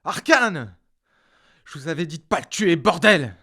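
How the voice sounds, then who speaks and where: A man's voice: a little high, big pitch swings, measured pace, bright, forceful tone, breathy edge, exaggerated voice. A man playing an exasperated, authoritative police captain in a sci-fi audio drama, blunt and indignant. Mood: angry, indignant. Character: angry, exasperated police captain under Senate orders.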